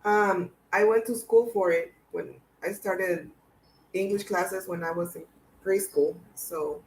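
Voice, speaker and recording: A woman's voice: lowish voice, fairly even intonation, takes it slowly, a little hiss or hum. Shy young woman, echoey room. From El Salvador. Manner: soft-spoken, shy, hesitant. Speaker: guest on a livestream. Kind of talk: casual chat.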